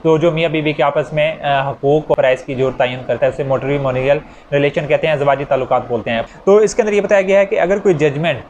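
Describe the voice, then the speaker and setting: A man's voice: a little high, fast talker, hardly any pauses, darkish tone. A man with a Pakistani accent giving an explanatory law lecture, restating points in simpler words in a didactic way. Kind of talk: explanatory lecture with worked examples. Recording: dull lo-fi audio, faint background noise.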